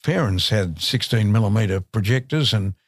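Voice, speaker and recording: An older man in casual chat, speaking in a measured, reminiscing way with hesitant fillers. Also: fairly low pitch, lively intonation, dry recording, clean studio sound. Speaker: co-host.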